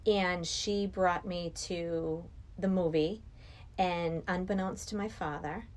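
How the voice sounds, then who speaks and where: A woman's voice: on the low side, steady tone, slow. A middle-aged woman from Massachusetts, a podcast guest chatting casually and enthusiastically about movies. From Massachusetts, United States.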